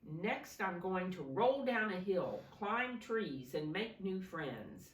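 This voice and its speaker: An exuberant, joyful she-bear from a children's picture book, put on by a woman reader. She exclaims eagerly, with big swoops in pitch, in a roomy space a little way from the microphone. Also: very animated, slow pace, far from the mic. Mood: excited, joyful. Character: excited, bouncy, joyful young female bear.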